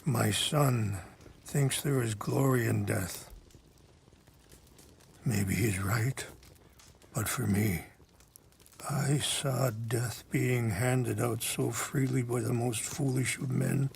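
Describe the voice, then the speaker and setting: A very gravelly old male chief, gentle and weary. He talks slowly and reflectively, like a grave peacemaker, in a video game scene with faint ambience. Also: medium pitch, steady tone, slight background noise. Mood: reflective, sorrowful. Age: older. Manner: measured, reflective, pausing. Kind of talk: video game cutscene acting.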